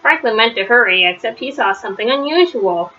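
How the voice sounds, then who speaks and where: A woman reads a picture-book story aloud for children. Her voice is bright and fairly high, and the recording is slightly dull with faint noise. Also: lively intonation, a little fast.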